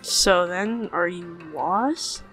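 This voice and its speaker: A woman voicing an apathetic fast-food cashier in a cartoon comic dub, weary and unimpressed. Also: fairly low pitch, faint music behind, exaggerated voice. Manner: flat, hesitant, unimpressed.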